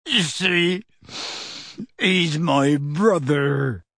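Huge kindly half-giant acted by a man, audiobook character, rustic grammar, emotional, pleading, husky, dry close sound. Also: mid-range voice, very animated, fairly bright tone, dry recording, heavily acted. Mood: tearful. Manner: earnest, halting, rustic dialect grammar.

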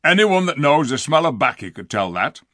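Brief: man voicing a rude, scornful storybook dwarf, loud and sneering, lively swings in pitch. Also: moderate pace, dry recording, clean studio sound. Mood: surly. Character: surly gruff male dwarf, rude and scornful.

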